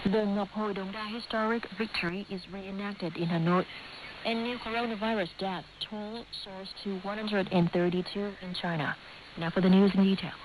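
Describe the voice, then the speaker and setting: Hissy shortwave radio, woman, Vietnamese accent, medium pitch, scripted news reading, presenter. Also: steady tone, moderate pace. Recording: noisy hissing shortwave radio broadcast.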